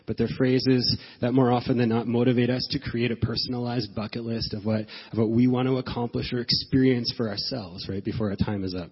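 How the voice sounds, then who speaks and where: Sick male pastor, stuffy and nasal, husky edge, earnest halting delivery, dull recording with a long hall echo. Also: on the low side. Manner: earnest, halting restarts, slow emphatic phrasing. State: stuffed up from a cold. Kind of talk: sermon. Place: church sanctuary.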